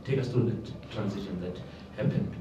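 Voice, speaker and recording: A man with a low voice poses a pointed reporter's question. The room echoes heavily and he sounds away from the microphone. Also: moderate pace, dull audio. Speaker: sports reporter. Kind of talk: challenging press conference question. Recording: echoey noisy room, distant mic.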